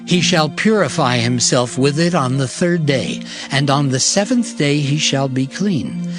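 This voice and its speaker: A man playing a solemn prophet reciting ritual law deliberately in a dramatized scripture reading. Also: mid-range voice, animated, over music, lightly acted.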